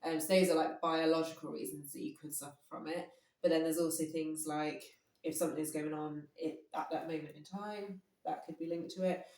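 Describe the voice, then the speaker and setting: A woman co-hosting a casual podcast chat, a bit hoarse from a cold, explaining at length with frequent ums and laughs. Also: low voice, fairly even intonation, fairly quick, a little mellow, distant mic. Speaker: podcast co-host. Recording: echoey room, voice off-mic.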